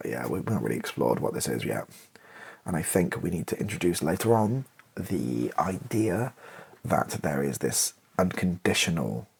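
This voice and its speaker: A man's voice: deep voice, gravelly, slightly rough. A British man thinking aloud in an ASMR style as he writes, tentative, wry and self-mocking. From Britain. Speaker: ASMR video creator. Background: keyboard typing and clicks.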